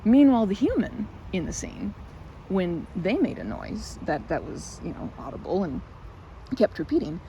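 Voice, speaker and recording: A woman playing a timid, excitable park regular in an ASMR roleplay, stammering and chattering apologetically in a one-sided conversation. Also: mid-range voice, normal speed, muffled recording, noisy background. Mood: excited rambling. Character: timid, rambling young woman, excitable park regular who loves ducks.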